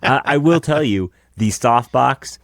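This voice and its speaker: A man in his thirties with a deep voice, co-hosting a podcast in casual chat from a small home studio on a close broadcast mic. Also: a little slow, no echo. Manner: chatty, explaining his gear with casual asides. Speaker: podcast co-host. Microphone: close dynamic broadcast mic.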